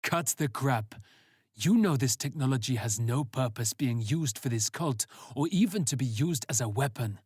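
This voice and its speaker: A man voicing a terse, watchful agent in a sci-fi story, direct and low-key. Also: animated, normal speed, a little mellow, breathy edge, no room sound, studio quality. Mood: firm.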